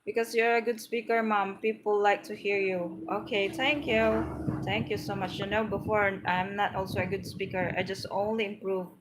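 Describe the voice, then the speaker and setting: Casting: Filipino-accented woman hosting a live stream, earnest and encouraging, mid-range pitch, faint noise behind. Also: steady tone, normal speed, low-quality recording. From Philippines. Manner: earnest, encouraging, repeats herself while searching for words. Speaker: livestream host, English tips. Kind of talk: casual live stream chat, reading comments aloud.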